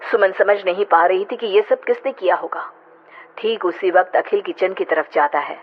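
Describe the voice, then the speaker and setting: A woman giving scripted narration of a horror story as its narrator. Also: on the low side. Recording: tinny, noisy band-limited audio.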